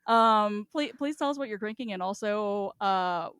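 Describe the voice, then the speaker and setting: A woman's voice: medium pitch, normal speed, a bit bright, clean tone, dry recording, studio quality. A woman hosting a casual podcast chat in a playful, profanity-laced way. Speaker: podcast host.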